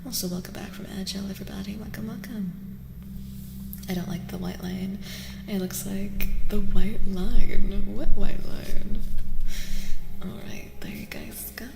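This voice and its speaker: Soft, whispery woman in reverberant ASMR. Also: mid-range voice, fairly even intonation. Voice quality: soft, breathy, often dropping to a whisper. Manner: gentle, deliberate, repeats phrases. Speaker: streamer and tarot reader. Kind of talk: soft-spoken ASMR, live tarot reading. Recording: roomy reverberant sound, faint noise.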